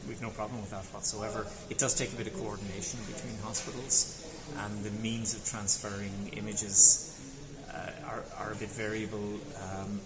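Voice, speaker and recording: A low-pitched man speaks in a careful, methodical way, now and then going back to restart a phrase. His voice echoes around a big room, with loud crowd chatter and ambience behind him. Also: level tone, very noisy. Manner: measured, methodical, careful explanation with some hesitant restarts. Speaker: consultant radiologist. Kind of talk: conference interview. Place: busy conference venue.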